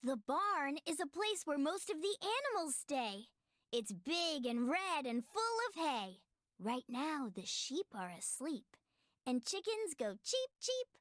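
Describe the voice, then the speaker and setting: High, sweet woman as a childlike game guide. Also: big pitch swings, unhurried, fairly bright tone, no room sound, studio quality, heavily acted. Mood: sweet, cheerful. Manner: sing-song rhyming delivery. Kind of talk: scripted rhyming children's educational narration.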